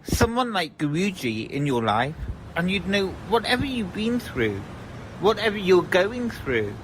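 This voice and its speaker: Woman acting a slow, rambling eccentric. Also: lowish voice, very animated, noisy background. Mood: dreamy, rambling. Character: rambling, childlike, eccentric devotee of a guru. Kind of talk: audio drama acting.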